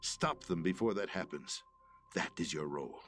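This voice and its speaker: A man's voice: deep voice, expressive. An actor playing a grave, authoritative middle-aged statesman in a video game cutscene, weary yet urgent, with solemn diction. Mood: solemn urgency. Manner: solemn, measured exposition. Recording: loud music behind the voice. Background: loud dramatic game score.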